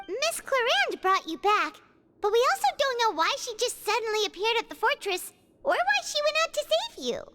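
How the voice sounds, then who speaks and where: A woman playing a small, pert, childlike creature companion with a squeaky voice in an anime game. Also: sing-song, normal speed, noisy background. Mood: chatty. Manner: pert, speaks of self in third person.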